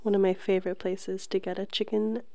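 A woman co-hosting a casual podcast in a soft, whispery ASMR voice, playful and conversational, close on the mic. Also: mid-range voice, flat delivery, a little fast, no room sound. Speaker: podcast co-host.

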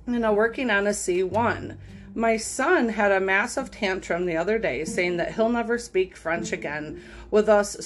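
A woman hosting a podcast, reading online posts aloud cold and then giving her frank take on them. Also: medium pitch. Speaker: podcast host.

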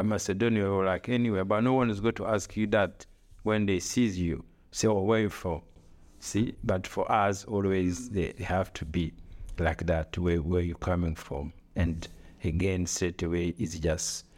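A middle-aged man from Africa talking in casual conversation, earnest and halting, with grammar and repeated phrases. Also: deep voice, darkish tone. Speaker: podcast co-host, father.

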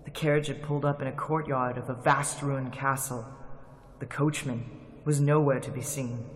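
A man's voice: mid-range voice, steady tone, normal speed. A man narrating a classic radio drama in a tense, dramatic storytelling manner. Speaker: narrator and lead actor. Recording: loud music under the voice.

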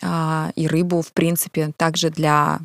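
A woman from Kamchatka in a casual interview, with a clear, ringing voice and brisk, chatty storytelling. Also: low voice, level tone, no echo, clean studio sound. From Kamchatka, Russia. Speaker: guest.

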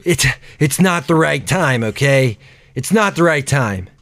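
Man playing a creaky, haunted demon-warner. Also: a little high, expressive, unhurried, a bit bright, dry recording. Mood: grave, evasive. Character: haunted, secretive man warning of possessing demons.

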